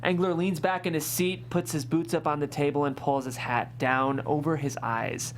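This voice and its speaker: A woman's voice: deep voice, fairly even intonation, moderate pace, slight background noise. Woman reading screenplay directions. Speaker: stage-direction reader. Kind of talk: scripted narration of screenplay directions.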